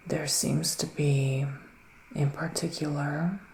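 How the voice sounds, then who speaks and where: A man speaking softly in an ASMR roleplay as a psychic witch, often near a whisper, musing slowly with little hums. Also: a little high, flat delivery, creaky, roomy sound, a little hiss or hum. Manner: slow, hesitant, musing, with murmured hums. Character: gentle, mystical male witch giving readings. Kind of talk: soft-spoken ASMR roleplay, partly whispered.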